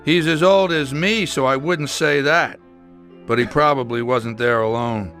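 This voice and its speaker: A man playing a calculating older crime boss in a video game, measured and dryly sardonic. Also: mid-range voice, fairly bright tone. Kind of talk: dramatic video game acting. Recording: faint music behind the voice.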